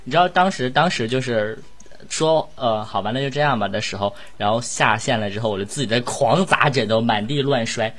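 Male voice actor off script, mid register, informal and bluntly expressive chat. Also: medium pitch, fairly quick. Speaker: voice actor in an audio drama. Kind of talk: casual chat.

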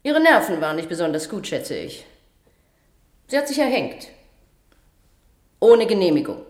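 An actress playing a strict, cold matron in a German radio play, giving orders in a brisk, condescending, authoritative manner. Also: female voice, mid-range voice, sing-song, lightly acted. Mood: dismissive, callous. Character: strict, cold institutional matron with a callous streak. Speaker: head matron instructing a new attendant.

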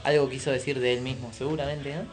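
A man's voice: mid-range voice, flat delivery, fast. A man with an Argentine Buenos Aires accent chatting informally on radio, using slang. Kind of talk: casual radio chat.

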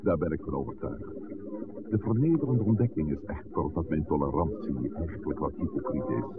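An actor playing a reflective, cautious older village priest in a 1960s radio drama, speaking formally and with measured phrasing. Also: male voice, a bass voice, animated. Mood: pensive, wistful. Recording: noisy, tinny old radio recording.

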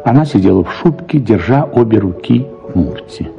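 A man reading a first-person literary story for radio in an expressive, reflective manner, with drawn-out words and pauses. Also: lowish voice, creaky. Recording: slightly dull radio sound, music behind. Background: soft music.

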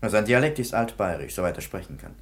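An actor playing a calm, observant male police clerk in a radio play. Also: lowish voice, fast, close to an everyday voice.

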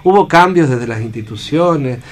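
A man's voice: medium pitch, expressive. Argentine man hosting a reflective radio discussion. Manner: deliberate, halting, peppers talk with rhetorical check questions. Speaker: radio talk show host.

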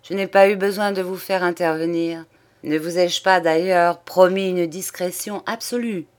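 A woman's voice: fairly low pitch, fairly even intonation, normal speed, natural voice. A woman reading an audiobook in the part of a thoughtful, courteous male magistrate, speaking formally and with measure. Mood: calm, reassuring. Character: grave, pensive, courteous male examining magistrate. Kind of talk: audiobook character dialogue.

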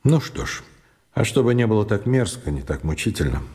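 A man's voice: lowish voice, sing-song, a little fast, a little mellow. An actor playing an educated, ashamed man who confesses earnestly and insistently in a radio drama. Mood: resigned. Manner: earnest, insistent, repeating himself. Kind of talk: Russian radio drama acting.

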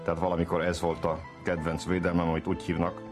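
Male guest singer, extremely low voice, reflective introduction, music behind. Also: completely flat delivery. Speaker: singer and chess player guest. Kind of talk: reflective spoken introduction to a song.

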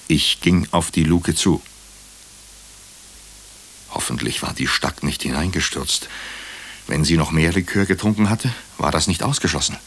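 A man playing a nervous doctor who narrates his own night-time ordeal in a suspenseful, deliberate way for a radio crime drama. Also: rumbling low, fairly bright tone, husky, exaggerated voice. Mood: uneasy, wry.

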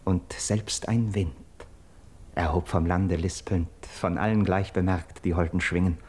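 Low-voiced, urgent Greek nobleman. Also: steady tone, darkish tone, breathy edge. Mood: rousing, eager. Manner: declamatory, elevated, measured stage diction. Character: urgent, persuasive young nobleman of Greek myth, loyal friend. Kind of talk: classical verse radio drama acting.

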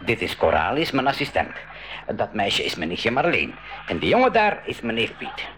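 Radio play casting: genial uncle professor, very lively pitch, gravelly, stammers as he starts. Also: moderate pace, a little hiss or hum, heavily acted. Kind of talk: 1980s school radio drama acting.

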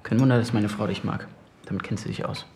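An actor playing a jealous, sarcastic husband in a naturalistic radio drama, curt and needling. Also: male voice, fairly low pitch, steady tone, fast talker, a little mellow, a little hiss or hum, natural voice. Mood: mocking. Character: tense, jealous, sarcastic husband, an interior designer.

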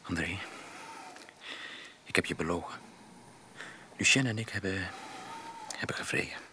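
A man's voice: sits low, unhurried, noisy background. A male actor playing a guilt-laden, pleading companion in a radio drama, speaking in a subdued, earnest way. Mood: confessing. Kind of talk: Dutch radio drama acting.

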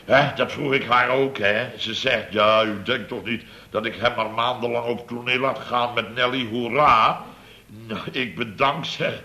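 Exasperated middle-aged boss, bright forceful voice, blustery, exclamatory, repetitive, radio comedy storytelling. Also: male voice, mid-range voice, fairly even intonation, measured pace. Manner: blustery, exclamatory, repeats himself, mimics people. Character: blustering, exasperated male boss of a building firm. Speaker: lead actor in radio comedy serial. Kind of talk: Dutch radio comedy acting, anecdote telling.

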